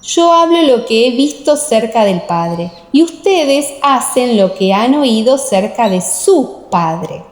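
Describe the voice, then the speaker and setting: A woman's voice: a little high, animated, takes it slowly, hardly any pauses. A woman voicing Jesus as a solemn, authoritative teacher in an audiobook radio play. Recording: echoey, long reverb.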